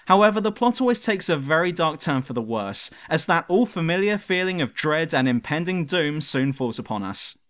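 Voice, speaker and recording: A man's voice: fairly high pitch, sing-song, moderate pace, hardly any pauses, a bit bright. A man reading a scripted review narration in a dramatic, emphatic way, as the presenter of a countdown video. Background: music.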